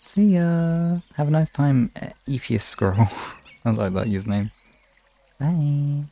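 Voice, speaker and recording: A man chatting casually to live viewers as a streamer, in a halting, rambling, informal way. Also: a little high, dark tone. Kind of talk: casual livestream chat. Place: room in an apartment. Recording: clearly noisy home room recording.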